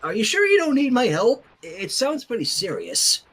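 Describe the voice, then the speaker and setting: A man reads as an easygoing teenage girl in a cartoon fan story. Her voice sits high and moves around a lot. She comes across as caring, friendly and reassuring. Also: wildly swooping pitch, normal speed, fairly bright tone, husky, full character voice.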